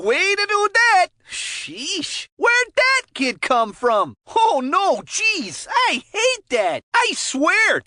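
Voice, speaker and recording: A woman voicing an excitable, chummy ex-player color commentator in a cartoon hockey game, with folksy, chatty delivery and mild exclamations. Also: extremely high pitch, no room sound, clean studio sound, exaggerated voice. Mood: dismayed.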